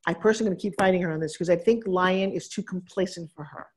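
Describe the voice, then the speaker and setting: A woman business coach on stage at a live seminar, teasing and bossing a guest with quick interruptions. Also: lowish voice, darkish tone. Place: event stage with audience.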